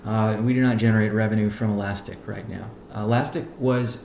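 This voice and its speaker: A man speaking as a company chief executive on a conference call, answering questions in a measured, deliberate, halting way. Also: fairly low pitch, steady tone, vocal fry, a little mellow, roomy sound, slight background noise.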